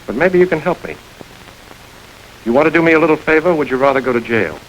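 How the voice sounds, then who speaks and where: An actor playing a smooth, calculating gang boss in a 1930s crime film, calm and commanding. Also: male voice, on the high side, quick pace, a little hiss or hum, close to an everyday voice, rough recording. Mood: calm authority. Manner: cool, controlled, quietly commanding. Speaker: gang chief.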